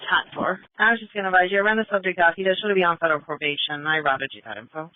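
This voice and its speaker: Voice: medium pitch, very fast, dry recording, phone-quality sound. A police dispatcher on a scanner radio, relaying and repeating messages in a clipped, businesslike way with radio codes. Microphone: two-way radio.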